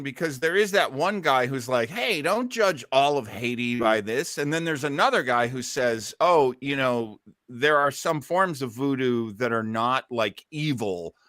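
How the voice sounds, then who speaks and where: A man hosts an informal live discussion with a lively, swooping delivery. He is jokey and self-deprecating in his manner. The sound is dry, with no room around it. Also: mid-range voice, normal speed, dry recording. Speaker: host of a listening party. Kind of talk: casual live group commentary.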